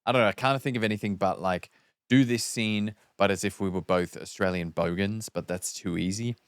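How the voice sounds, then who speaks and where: A man's voice: lowish voice, steady tone, fairly quick, clear, resonant tone, dry recording. A man chatting casually as a podcast host. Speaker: podcast host and amateur actor. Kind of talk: casual chat.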